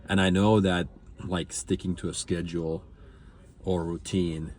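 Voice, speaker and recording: A man's voice: low-pitched, flat delivery, measured pace, darkish tone. A man from Finland speaking reflectively as a guest in a conversational interview. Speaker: interview guest, entrepreneur and business coach.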